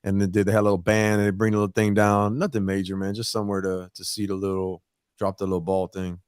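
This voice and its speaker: A man co-hosting a talk show in a relaxed, joking manner. His voice is fairly low, and the sound is dry and close with little room echo. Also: quick pace, clean tone. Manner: laid-back, joking, understated. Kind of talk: casual chat.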